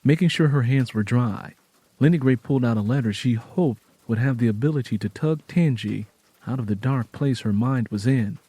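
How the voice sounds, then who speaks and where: Mellow male narrator, solemn storytelling. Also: medium pitch, normal speed, slightly rough, dry recording. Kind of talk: scripted dramatic story narration.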